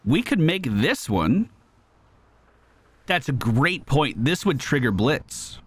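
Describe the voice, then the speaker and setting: A man giving casual, animated live commentary as a gaming host, exclamatory and swearing freely. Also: mid-range voice, slow, husky, no echo. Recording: game music behind.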